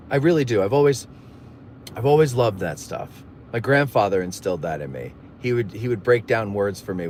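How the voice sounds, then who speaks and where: A man's voice: on the low side, a little mellow. Guy rambling on a live stream from his car, jokey asides, bit of engine hum behind. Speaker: livestream host. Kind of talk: casual chat with live viewers. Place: in a moving car.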